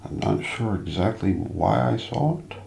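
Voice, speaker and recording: A man narrating a scripted mystery documentary as an ASMR role play, in a hushed, deliberate, suspenseful manner. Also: on the low side, expressive, creaky. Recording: roomy echo, light background noise.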